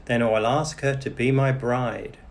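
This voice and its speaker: A man's voice: medium pitch, fairly even intonation, normal speed, lightly acted. A man voicing a courteous, earnest, lovestruck young prince in a storybook. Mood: earnest resolve. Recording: clearly noisy background.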